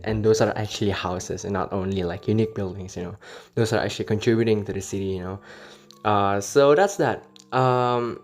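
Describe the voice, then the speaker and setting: A young Indonesian man with an Indonesian accent, giving relaxed, rambling commentary over city-building gameplay, full of fillers and self-corrections. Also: fairly low pitch. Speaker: city-builder video creator. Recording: voice-over with faint background music.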